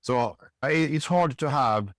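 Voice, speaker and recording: A middle-aged man, a guest in a casual interview, talking in halting bursts with many restarts. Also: mid-range voice, lively intonation, no room sound, clean studio sound. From Sweden. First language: Swedish. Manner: halting, restarts often, frequent "yeah". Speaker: podcast guest.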